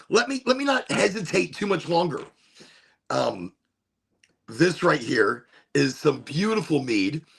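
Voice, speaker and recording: Hoarse, gravelly man chatting on a livestream. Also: a little high. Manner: upbeat, rambling, repetitive. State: losing his voice. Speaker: livestream host. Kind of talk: casual livestream chat.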